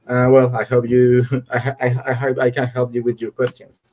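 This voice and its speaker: A man's voice: medium pitch, monotone, normal speed, highs cut off. A man who is a researcher, introducing himself on a video call in a friendly, slightly hesitant way.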